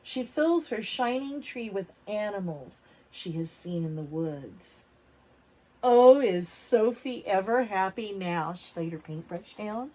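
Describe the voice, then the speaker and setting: A woman reading a picture book aloud to preschoolers, expressive, stretching words for emphasis and putting questions to the children. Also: mid-range voice, slow pace, poor audio. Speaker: read-aloud storyteller for preschoolers.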